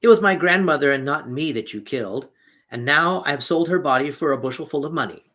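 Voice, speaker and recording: A man voicing a clever young peasant in a fairy tale, speaking in a raised, excited voice. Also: fairly high pitch, fairly quick. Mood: calm.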